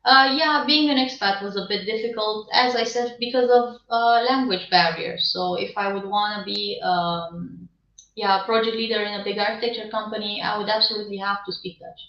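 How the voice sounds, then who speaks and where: A woman's voice: mid-range voice, steady tone, moderate pace. A woman from Romania, a guest on a video call, answering candidly and laughing often. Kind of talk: casual interview answers. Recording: distant, roomy, dull video call audio.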